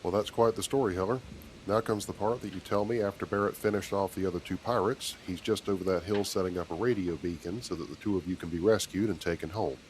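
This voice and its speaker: An actor playing a commanding, protective starship captain in a video game, speaking in a measured, dry, authoritative way. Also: male voice, sits low, darkish tone, a little hiss or hum, natural voice, rough recording. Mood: dry, exasperated. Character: steady, commanding male starship captain, dryly skeptical, protective. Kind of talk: video game character dialogue.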